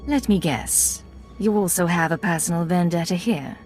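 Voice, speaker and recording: Actress as a composed, low-voiced female agent. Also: normal speed, faint music behind, close to an everyday voice. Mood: cool, measured. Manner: calm, matter-of-fact. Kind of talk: video game voice acting.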